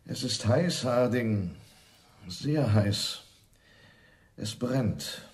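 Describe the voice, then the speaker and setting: An actor playing a cold, menacing bereaved husband in a radio crime drama, terse and controlled. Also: male voice, mid-range voice, animated, extremely slow.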